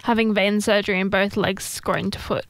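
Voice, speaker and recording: A woman's voice: medium pitch, steady tone, normal speed, no echo. A woman co-hosting a live chat, reading viewers' messages aloud. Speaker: co-host reading out viewer comments.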